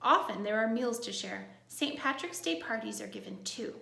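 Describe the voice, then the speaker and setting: A woman with a fairly high voice and a noticeable creak reads a children's book aloud like a teacher. She breaks from the text for simple, encouraging asides to young listeners. The room adds a clear echo around her voice. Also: lively intonation, moderate pace, bright, forceful tone.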